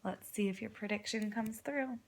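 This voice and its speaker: A woman, a kindergarten teacher, reading a storybook aloud expressively, with dramatic pauses and encouraging questions for young listeners. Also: medium pitch, very noisy. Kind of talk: children's read-aloud with prompting questions.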